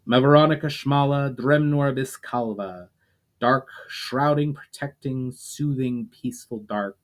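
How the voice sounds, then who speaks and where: A man reading the chant of a man calling on the power of darkness, slow and incantatory. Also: mid-range voice, close to an everyday voice.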